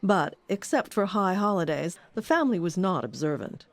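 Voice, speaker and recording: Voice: mid-range voice, very animated, normal speed, vocal fry, no echo. A documentary narrator reading a scripted history.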